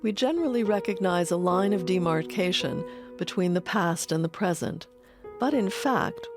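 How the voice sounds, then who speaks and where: A woman reading scripted narration as the narrator of a documentary. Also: mid-range voice. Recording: clear music bed under voice. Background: music.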